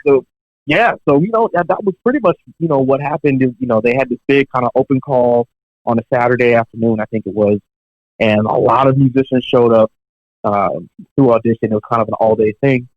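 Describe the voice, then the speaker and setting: A young man of mid-range pitch tells anecdotes from his music career in a loose, conversational way. He wanders through asides and fillers and chuckles now and then. The audio is dry and a little dull, like a home podcast setup. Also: fairly quick, no room sound. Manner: relaxed, chatty, many fillers and asides, chuckles while telling stories. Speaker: professional touring drummer, podcast guest. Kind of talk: casual storytelling in an interview.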